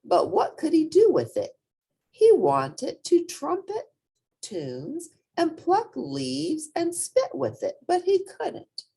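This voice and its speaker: A woman reading a children's picture book aloud as an expressive storyteller, with dramatic emphasis. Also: sits high, takes it slowly, gravelly.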